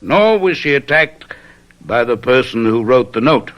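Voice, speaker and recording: Brilliant gentleman detective, radio mystery male actor: assured, deliberate, animated middle-pitched delivery, playfully superior. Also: dull audio, lightly acted. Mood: confident explaining. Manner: deliberate, theatrical, explanatory. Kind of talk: old-time radio mystery acting.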